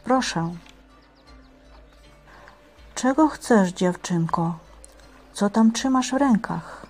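A woman playing a humble, devout monk in a cartoon, speaking meekly and deliberately. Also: mid-range voice, animated, darkish tone, faint music behind, full character voice. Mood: wary, plain. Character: humble, devout monk talking with a Christ figurine. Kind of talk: amateur stage-play acting in a cartoon.

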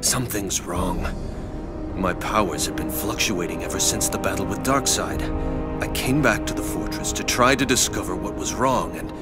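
A man's voice: low-pitched, moderate pace, natural voice. A man voicing a troubled earnest superhero. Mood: worried. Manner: worried, earnest. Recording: game cutscene with loud music.

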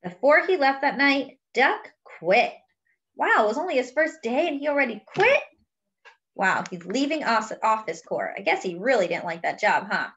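Female children's story host, very lively pitch movement, expressive read-aloud with simple explanatory asides. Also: moderate pace, dull audio. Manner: expressive, explains words and pictures as if to young children.

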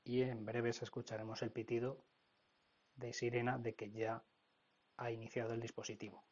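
A man with a Spanish accent from Spain walks through a software procedure step by step, often checking in with "¿vale?". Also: medium pitch, monotone, normal speed, dull audio. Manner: explanatory, frequent "¿vale?" check-ins. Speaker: product trainer. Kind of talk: screen-recorded software tutorial.

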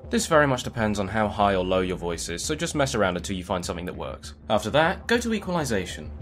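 A man's voice: fairly low pitch, fairly bright tone. A man giving a plain, step-by-step instructional voice-over as a tutorial narrator.

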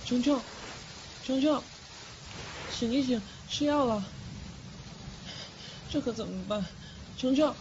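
A woman's voice: high-pitched, takes it slowly, pauses often, background noise. A woman playing a timid, devoted little male ghost with an anxious, meek manner in an audio drama. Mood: worried. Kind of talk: Chinese audio drama acting. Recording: dull lo-fi audio under music.

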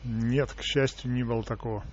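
A man's voice: mid-range voice, level tone, brisk, a little hiss or hum. Laconic man on a muffled phone call. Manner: laconic, evasive, playfully unhelpful. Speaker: person receiving a sales call. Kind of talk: casual phone chat.

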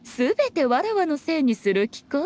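An actress playing a sly, regal fox shrine maiden in an anime game, with haughty, amused, archaic speech. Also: female voice, high voice, very animated, moderate pace, darkish tone, a little hiss or hum. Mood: amused, teasing. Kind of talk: Japanese anime game voice acting.

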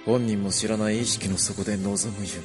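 Mysterious solemn guy voiced by male actor, lowish voice, portentous, music behind, fantasy audio drama. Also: fairly even intonation, close to an everyday voice. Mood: solemn, ominous. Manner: slow, portentous. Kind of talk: Japanese audio drama acting.

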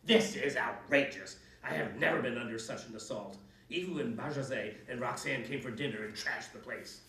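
A man's voice: mid-range voice, brisk, gravelly, fairly bright tone. Man doing a cranky sarcastic old witch. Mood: indignant. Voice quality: hissing, sarcastic, grumbling. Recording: echoey room, mic at a distance.

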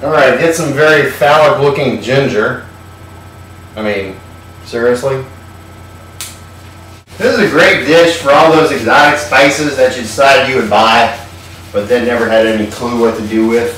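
A man's voice: medium pitch, measured pace, long pauses, a bit bright, heavy reverb, far from the mic, rough recording. A sardonic, irreverent man hosting his own cooking show from a home kitchen, swearing with easy nonchalance. His voice bounces hard around the bare room and sits a little away from the mic. A faint hum of room noise lingers underneath. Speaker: host.

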